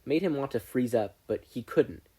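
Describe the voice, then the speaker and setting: Expressive, husky-voiced story narrator. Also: medium pitch, moderate pace. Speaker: podfic narrator.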